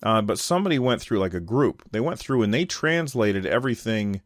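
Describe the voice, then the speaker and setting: Low-voiced American man, casual solo commentary. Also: animated, brisk, dry recording, clean studio sound. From United States. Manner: conversational, thinking aloud in loose unscripted phrases. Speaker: podcast host giving gaming commentary.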